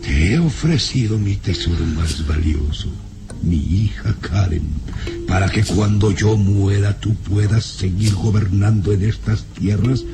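Cruel, deep-voiced mocking baron. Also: male voice, measured pace, over music. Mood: scornful, scolding. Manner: contemptuous, taunting, imperious. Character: cruel, mocking older Hungarian baron, a domineering father. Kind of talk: old radio serial acting, theatrical.

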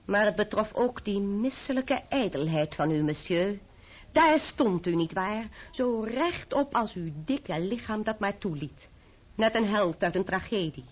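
A woman's voice: medium pitch, animated, a little slow. An actress playing a proud, defiant young French noblewoman in a Dutch radio play, haughty and scornful in manner. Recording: narrow-band, muffled old radio recording.

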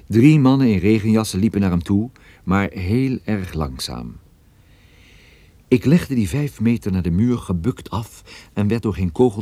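Deep, mellow, laconic detective. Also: male voice, dry recording. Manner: dry, laconic, wry. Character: hard-boiled, wry male private detective recounting his case. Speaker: narrator and protagonist. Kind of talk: scripted radio play narration.

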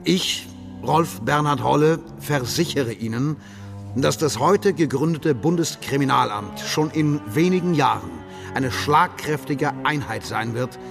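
An actor playing a solemn, self-assured German police official, delivering a formal, measured and rather pompous pledge in a radio drama with a creaky voice. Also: male voice, medium pitch, lively intonation, over music. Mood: solemn resolve.

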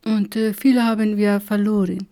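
A middle-aged woman from Afghanistan with an Afghan accent, haltingly telling her personal story with many pauses. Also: mid-range voice, level tone, dark tone. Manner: halting, many hesitations, slow and searching for words. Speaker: interviewee. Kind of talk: personal testimony.

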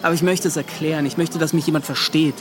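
High-voiced, tormented ship's officer played by a man. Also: steady tone, crowd noise, lightly acted. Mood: defensive, anguished. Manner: agitated, pleading, halting then rushing. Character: tormented, defensive male ship's officer recounting a disaster. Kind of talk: radio drama acting, confessional monologue.

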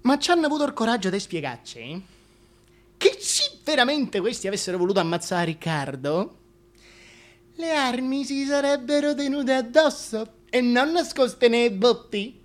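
A man's voice: extremely high pitch, big pitch swings, normal speed. An actor with a Roman accent playing a gossipy, indignant working-class supporter of the king in a comic stage monologue, colloquial and exclamatory. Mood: indignant, mocking.

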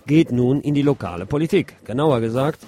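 A middle-aged man hosting a radio show, reading news commentary in a measured, opinionated way. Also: medium pitch, expressive, warm tone, faint music behind. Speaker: radio host.

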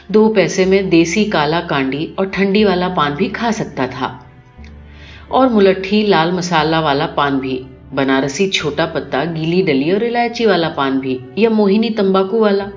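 Low-voiced woman narrating over music. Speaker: audiobook narrator. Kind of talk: scripted narration of a literary short story, read in the first person. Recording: dull lo-fi recording with music behind. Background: clear music.

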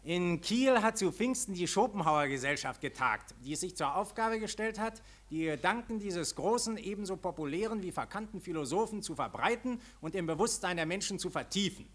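A man's voice: high voice, lively intonation, a little fast, hardly any pauses, a bit bright. An actor playing an opinionated, self-important man in a 1940s German radio play, speaking emphatically. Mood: pompous. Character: opinionated, pedantic German man discussing newspapers.

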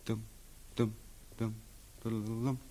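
A man's voice: fairly low pitch, little pitch movement, slow pace, background noise. An actor playing a tormented returning soldier in a 1940s radio drama, theatrical and obsessive as he recounts a nightmare. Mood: haunted, brooding. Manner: theatrical, obsessive, swinging from hushed murmurs to frenzied cries. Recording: muffled, husky 1940s radio recording.

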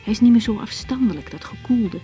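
An actress playing a young woman doctor in a naturalistic radio drama, frank and reflective, speaking in a measured, intimate, sometimes hesitant way. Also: fairly high pitch, animated, warm tone, soft background music, natural voice. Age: young adult. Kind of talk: naturalistic Dutch radio drama acting with diary monologue.

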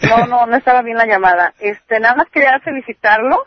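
A woman's voice: on the high side, fairly quick, dry recording. Woman phoning in to a radio show, polite n respectful, muffled phone line sound. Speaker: radio caller. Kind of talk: casual call-in comment. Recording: dull telephone call audio.

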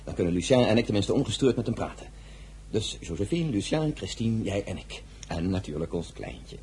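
Casting: ambitious family schemer in period radio drama, eager and self-important, animated intonation, faint noise. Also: male voice, lowish voice, fairly quick.

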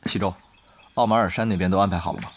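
Actor as low-voiced, wry gaming guild leader. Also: male voice, fairly even intonation, racing, a little hiss or hum, lightly acted. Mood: doubtful, worried. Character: wry, cautious male guild leader in online game. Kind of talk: Mandarin audio drama acting. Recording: music behind the dialogue.

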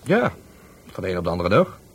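A man's voice: low voice, wildly swooping pitch, brisk, a little hiss or hum, full character voice. A man playing a cagey, cheeky market trader in a Dutch radio play, breezy, slangy and evasive. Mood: offhand.

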